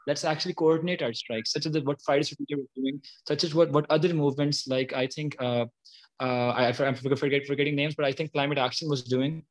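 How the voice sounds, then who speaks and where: Young man, higher-pitched voice. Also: steady tone, fast, rough recording. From Pakistan. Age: early 20s. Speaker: climate activist, interview guest. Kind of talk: spontaneous interview talk.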